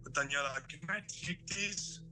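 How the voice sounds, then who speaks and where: A man's voice: a little high, normal speed, music in the background, natural voice. Devout, anxious young man called Norville, acted by a man. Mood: uneasy, hungry. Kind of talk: audio drama table read.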